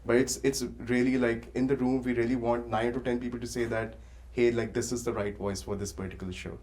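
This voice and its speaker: A man talking casually in a halting way, with frequent filler words as he explains his thoughts. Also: medium pitch, little pitch movement, a little mellow. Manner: halting, filler-heavy, says "like" constantly. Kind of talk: casual discussion.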